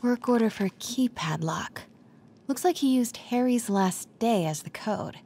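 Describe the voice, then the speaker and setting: A woman's voice: on the high side, lively intonation, measured pace, warm tone, a little hiss or hum. A woman voicing a determined young reporter in a video game, murmuring to herself and often dropping to a whisper as she sneaks. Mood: focused. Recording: background music under the voice.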